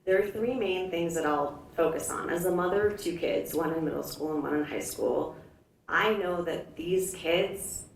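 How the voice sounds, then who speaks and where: A woman with a low, gravelly voice gives a conversational campaign speech as a candidate. The sound is roomy, as if miked from some way off. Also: moderate pace. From Hawaii, United States. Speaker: school board candidate. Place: indoor public forum room. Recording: echoey room from a distance, faint noise.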